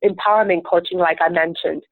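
A woman's voice: on the low side, steady tone, a little fast, no echo. A woman from Venezuela whose first language is Spanish, a life coach answering interview questions in an earnest, upbeat, self-assured way. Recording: tinny phone-like line, dry.

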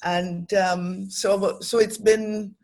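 A woman's voice: medium pitch, fairly even intonation, extremely slow, darkish tone. A middle-aged woman, speaking thoughtfully and earnestly as the guest in an interview. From Trinidad. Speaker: interview guest, academic and development professional. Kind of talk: reflective interview conversation.

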